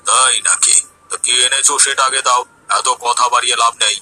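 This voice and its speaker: A man playing a grumpy, greedy fake holy man in a cartoon story, gruff, impatient and haughty. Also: medium pitch, steady tone, brisk, sharp tone, soft background music, low-quality recording. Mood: haughty, demanding. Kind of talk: Bengali cartoon story dialogue.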